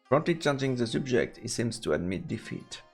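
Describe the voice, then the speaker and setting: A man's voice: mid-range voice, very animated, normal speed, faint music behind. A man doing let's play commentary on a visual novel, reading the narration aloud. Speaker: game streamer.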